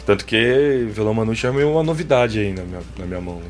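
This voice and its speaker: A man's voice: on the low side, animated, fast, soft background music. A man with a Brazilian Portuguese accent, a musician being interviewed, talking loosely and tagging his sentences with fillers. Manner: rambling, full of filler tags. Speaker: guest musician. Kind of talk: casual interview chat.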